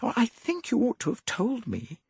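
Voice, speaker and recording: A man's voice: very high-pitched, huge pitch swings, normal speed, creaky, slightly rough, no echo, pitched above the performer's own voice, exaggerated voice. A man voicing an anxious, indignant young woman in an audiobook. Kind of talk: audiobook character reading.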